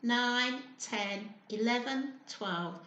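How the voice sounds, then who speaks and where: A woman's voice: a little high, very slow, a bit bright, dull audio. A woman reading a picture book expressively to young children, prompting them with simple questions. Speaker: children's storyteller. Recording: roomy, echoing indoor sound.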